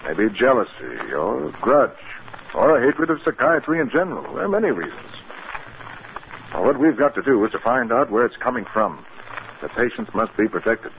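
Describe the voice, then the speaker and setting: An American actor playing an alarmed, obsessive male psychiatrist in a 1950s radio drama, speaking gravely and urgently. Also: mid-range voice, very animated, normal speed. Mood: grim, determined. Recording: scratchy, narrow-band 1950s radio recording.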